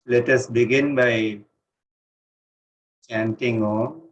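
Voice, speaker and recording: Man, Indian accent, meditation teacher, calm deliberate phrasing, frequent pauses, mid-pitched voice, muffled lo-fi online recording. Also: slight background noise. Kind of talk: guided meditation instruction. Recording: dull, lo-fi online session audio.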